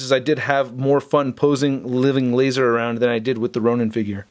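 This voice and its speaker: A man giving a casual, expressive action figure review, talking directly to viewers in an informal, conversational way. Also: a little high.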